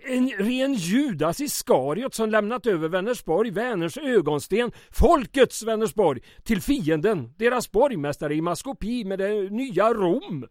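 A man playing a high-voiced, anxious mayor. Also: animated, hardly any pauses, no room sound, studio quality. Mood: urgent warning. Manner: earnest, deferential, rhetorically florid. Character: anxious, persuasive small-town Swedish mayor, pleading and flattering. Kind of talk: old-time radio comedy drama acting.

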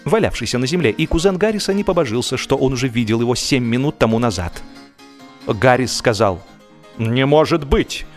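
Male narrator, medium pitch, theatrical humorous storytelling with measured pauses, light music underneath. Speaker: audiobook narrator.

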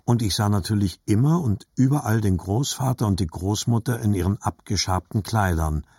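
An actor playing a reflective adult narrator remembering his boyhood, reading literary narration in a measured, husky voice. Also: on the low side, darkish tone, no room sound, studio quality. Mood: wistful.

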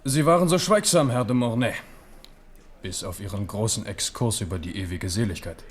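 An actor playing an ageing, shrewd French king, weary and reflective, with deliberate theatrical phrasing in a German radio play. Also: fairly low pitch, expressive, natural voice. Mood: reproachful.

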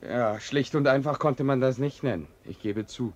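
An actor playing a wry, slyly flattering narrator in a radio drama, speaking in a measured, insinuating way. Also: male voice, mid-range voice, highs cut off, close to an everyday voice. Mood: sly, soothing. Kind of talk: radio drama narration addressing listeners and character.

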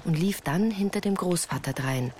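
An actress playing a woman who recalls her village childhood, narrating in measured, reflective literary prose for a radio play. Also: on the low side, darkish tone, a little hiss or hum, close to an everyday voice. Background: music.

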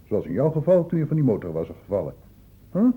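An old, dull-sounding radio play recording of an insistent doctor. His husky voice moves up and down in a lively way as he patiently questions and explains, pressing with short pointed prompts. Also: medium pitch, quick pace. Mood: insistent, lecturing. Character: probing, patient male public-health doctor questioning a youth. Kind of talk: radio drama acting.

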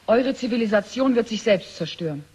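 An actress playing a young artificial human in a 1970s radio drama, speaking in a detached, terse, oracular way. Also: female voice, a little high, expressive, fairly quick, darkish tone, husky. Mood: detached, certain. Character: cold, logical young female artificial human, proud, inwardly torn. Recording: noisy old radio drama recording.

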